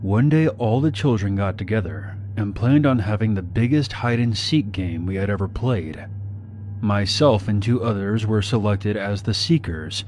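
A man in his forties from Somalia, narrating his own past in a somber, measured way. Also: fairly low pitch, darkish tone. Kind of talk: scripted first-person narration.

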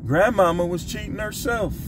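A man's voice: high-pitched, moderate pace. An American man in his fifties giving blunt, streetwise advice in a casual monologue, repeating phrases for emphasis, recorded on a phone inside a car. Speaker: vlogger giving relationship advice. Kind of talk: casual opinion monologue to camera. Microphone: phone at arm's length. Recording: clear car and outdoor traffic noise.

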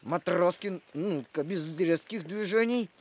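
An actor voicing a playful, good-hearted male dog in an animated series, with a joking manner. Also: medium pitch, a little slow, natural voice. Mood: concerned. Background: cartoon music.

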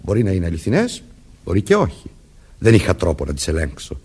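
An actor playing an authoritative police sergeant in a Greek radio play, speaking formally and deliberately while questioning suspects. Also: male voice, low voice, wildly swooping pitch, a little mellow, breathy edge, exaggerated voice. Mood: stern, commanding. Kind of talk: Greek radio theatre acting.

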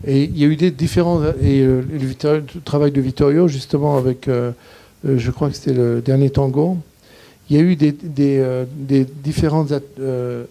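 A man in his sixties, a guest cinematographer, answering questions thoughtfully and haltingly, often restarting as he searches for words, speaking into a handheld mic in a small indoor room. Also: medium pitch, steady tone, mellow. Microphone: handheld mic, standing.

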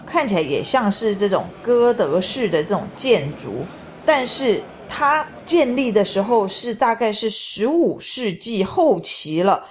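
A middle-aged woman, describing food and sights in a measured, enthusiastic travel voice-over. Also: fairly high pitch. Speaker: travel vlog co-host. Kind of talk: casual travel commentary voice-over.

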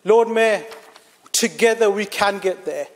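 A man's voice: high voice, a little slow. A man giving an emphatic, formal political speech as a city councillor. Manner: emphatic, oratorical, repeating phrases for effect. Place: large indoor council chamber. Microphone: gooseneck desk microphone. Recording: reverberant hall sound.

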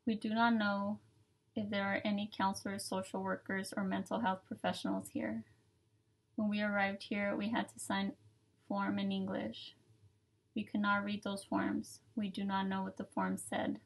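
A young woman seated at a table, reading a legal declaration aloud in a steady, measured way. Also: medium pitch, creaky, rough recording. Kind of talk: solemn reading of testimony. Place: indoor room with dark curtain, seated at table.

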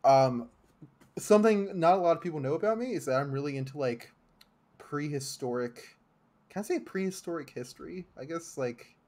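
A man's voice: fairly high pitch, animated, normal speed, a little mellow. Young guy streaming from his room on a desk mic, rambly, lots of ums and uhs. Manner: rambling, many fillers and restarts. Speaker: streamer playing the game. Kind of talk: casual chat while gaming. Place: home room.

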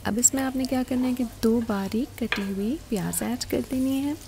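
A woman's voice: fairly high pitch, normal speed, dark tone. A woman hosting a cooking show, narrating a recipe step by step and instructing the viewer directly. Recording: clear sizzling and stirring kitchen noise. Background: frying, sizzling and stirring.

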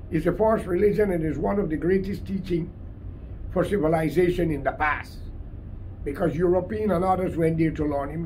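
High-pitched older Guyanese man, combative. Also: darkish tone, rough recording. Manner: combative, emphatic declarations. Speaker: political commentator. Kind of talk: unscripted political monologue to camera. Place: small cluttered room indoors. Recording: clear background room noise.

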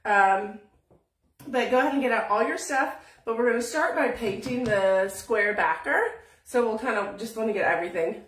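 A woman's voice: on the high side, moderate pace, distant mic. A middle-aged woman hosting a casual live painting tutorial from her small home craft room, chatty and rambling, laughing easily and a bit sniffly, with the phone camera often out of her reach. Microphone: phone camera above the table, often out of reach. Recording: echoey room, voice off-mic.

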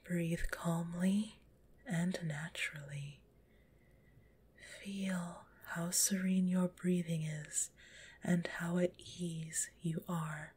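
Breathy whispering woman, very slow and soothing. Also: lowish voice, steady tone. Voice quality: stuffy-nosed. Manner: soothing, gentle, unhurried. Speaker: guided visualization narrator. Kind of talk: whispered ASMR guided relaxation.

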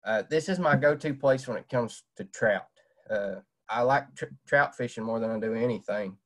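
A man's voice: medium pitch, normal speed, a bit bright. Young man, casual fishing talk, lots of fillers, webcam at home. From Southwest Virginia. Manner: plain-spoken, many "uh" fillers. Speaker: fishing presenter. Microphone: webcam on video call.

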